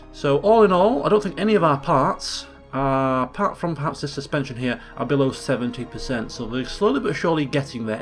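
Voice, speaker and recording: A man with a slightly hoarse voice, hosting a chatty let's play and walking through game menus. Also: on the high side. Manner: upbeat, chatty, explains game menus step by step. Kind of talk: casual gameplay commentary.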